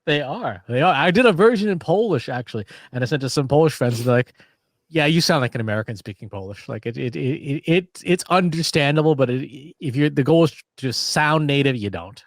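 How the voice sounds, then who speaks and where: A man's voice: on the high side, lively intonation, fairly quick, no echo, clean studio sound. A man co-hosting a podcast in casual conversation, explaining ideas with analogies and frequent restarts. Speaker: podcast co-host.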